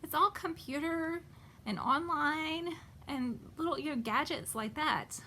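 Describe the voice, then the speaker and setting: A woman hosting a children's storytime, reading a picture book expressively and pausing to ask simple questions. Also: high-pitched, a little hiss or hum. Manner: expressive, asks simple questions, pauses to point things out. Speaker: children's storytime host and reader.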